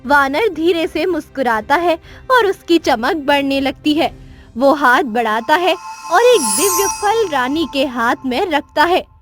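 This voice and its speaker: Slow, high-pitched female storyteller. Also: lively intonation, soft background music. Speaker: storyteller, narrator. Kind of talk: dramatic scripted children's story narration.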